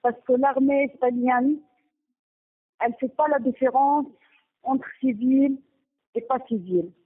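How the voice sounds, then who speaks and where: A woman from Gaza, speaking haltingly with many restarts, giving a personal testimony and partly reading her own text. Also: high voice, slightly rough. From Gaza, Palestine. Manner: halting, with frequent restarts and pauses. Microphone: telephone. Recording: tinny phone line.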